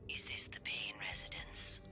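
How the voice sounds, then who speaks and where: A man's voice: moderate pace, over music, close to an everyday voice. Whispery menacing phone caller played by a man. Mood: cold menace. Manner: hushed, coolly polite. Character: cold, menacing mystery caller on the telephone.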